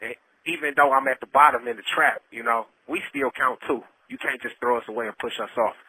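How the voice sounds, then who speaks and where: A man's voice: medium pitch, fairly even intonation, brisk, bright, forceful tone, breathy edge. A man giving personal testimony over a telephone line, speaking in an earnest, colloquial, pleading way. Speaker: storyteller, incarcerated caller. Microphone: phone handset.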